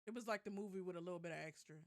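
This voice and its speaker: A woman chatting casually as a podcast co-host, retelling things in slangy, profane, animated language. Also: lowish voice, rapid-fire, crowd noise. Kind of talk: casual banter about TV and anime.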